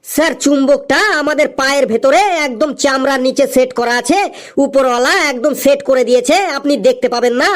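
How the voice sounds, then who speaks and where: A woman's voice: high voice, steady tone, brisk, lightly acted. A woman voicing a cheeky, dim-witted schoolboy in a comedy cartoon with an earnest delivery. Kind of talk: exaggerated comic cartoon dubbing.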